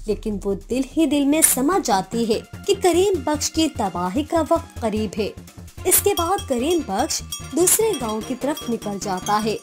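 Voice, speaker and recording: A woman's voice: high-pitched, darkish tone. A woman reading scripted narration for a cartoon moral story.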